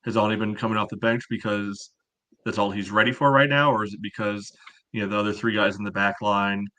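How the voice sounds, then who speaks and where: A man with a bright, forceful voice on the lower side co-hosts a sports podcast. He gives opinionated, analytical commentary in casual conversation. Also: steady tone, fairly quick. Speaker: podcast co-host.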